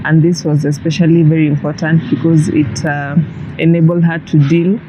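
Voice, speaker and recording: A caseworker speaking in a measured way with frequent uhs, describing the support given to a client. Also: mid-range voice, level tone, crowd noise. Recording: dull audio with faint background noise.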